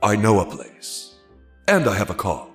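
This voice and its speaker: An actor playing a secretive, self-important male spy in a dramatized audio story, with theatrical, drawn-out delivery. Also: lowish voice, huge pitch swings. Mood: slyly cheerful. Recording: roomy echo over background music.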